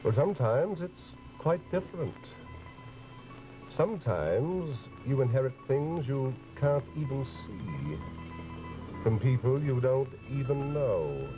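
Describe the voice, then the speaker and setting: An actor playing an ominous horror show announcer with a dramatic, foreboding delivery in the style of 1940s radio. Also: male voice, mid-range voice, expressive, takes it slowly. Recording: muffled old radio recording. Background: music.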